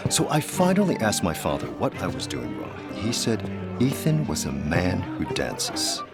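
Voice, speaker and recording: An actor playing a suave, calculating aristocratic gentleman in a gothic audio drama, speaking in courtly, formal phrases. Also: sits low, wildly swooping pitch, unhurried, gravelly, over loud music, full character voice. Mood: wry, reminiscing.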